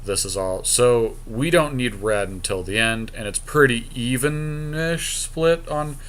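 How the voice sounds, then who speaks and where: A man co-hosting a podcast, chatting casually and informally as he deliberates aloud over a card game draft. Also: mid-range voice, a bit bright. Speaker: podcast co-host.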